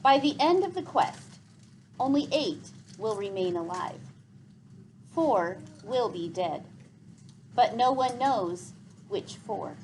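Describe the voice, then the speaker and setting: A woman voicing a wise, gentle old man in a storybook, speaking in a grave, formal and measured way. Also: on the high side, expressive, slight background noise, rough recording. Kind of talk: storybook read aloud.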